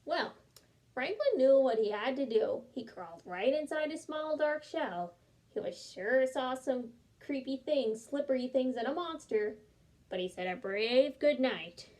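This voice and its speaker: A young woman reading a picture book aloud, gentle and expressive. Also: high voice, unhurried. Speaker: storyteller.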